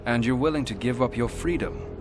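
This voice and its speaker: An actor playing a composed, loyal warrior hero in a video game, asking direct questions in a measured way. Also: male voice, medium pitch, over music, lightly acted. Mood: composed, probing. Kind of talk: video game cutscene acting.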